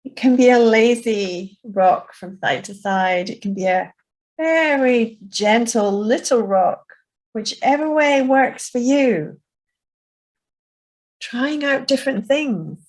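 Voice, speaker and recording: Woman relaxation instructor, unhurried and soft-spoken, guiding a calm session. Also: fairly high pitch, rough recording. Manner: soft, slow and soothing. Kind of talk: guided self-soothing session.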